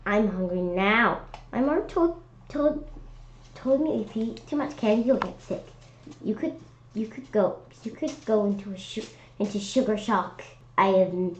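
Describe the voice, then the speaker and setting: A woman reading a children's story aloud in an expressive, animated way, occasionally stumbling over words. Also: mid-range voice. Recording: dull lo-fi sound with clear background noise.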